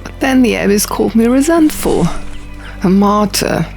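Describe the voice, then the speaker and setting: A woman's voice: medium pitch, animated, moderate pace, creaky, a little mellow, voice pushed up. A woman voicing an earnest, self-doubting young female diviner in an audiobook, with a hesitant, plaintive delivery. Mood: hurt, pleading. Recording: music behind the voice.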